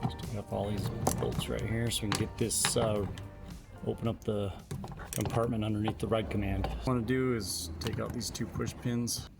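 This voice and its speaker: A man's voice: on the low side, steady tone, moderate pace, vocal fry, music in the background. A man narrating a casual how-to as an installer, explaining informally step by step while he works. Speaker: installer giving a tutorial. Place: in and around a utility vehicle in a garage or shop. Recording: noisy workshop ambience behind voice.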